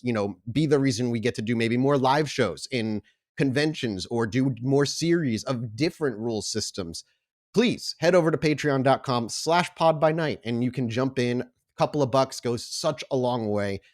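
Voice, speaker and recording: A man with an average-pitched voice speaks as a podcast host and game storyteller. His delivery is informal and conversational, like someone chatting off the cuff with friends at the table. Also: moderate pace. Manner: informal, chatty, swears casually. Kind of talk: casual podcast intro, then improvised tabletop game narration.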